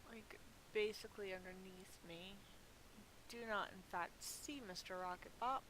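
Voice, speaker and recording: A woman's voice: mid-range voice, fairly even intonation, measured pace. A middle-aged woman giving casual, playful gameplay commentary, thinking aloud and murmuring to herself as she goes. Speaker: gamer streaming her play. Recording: clearly hissy, noisy home recording.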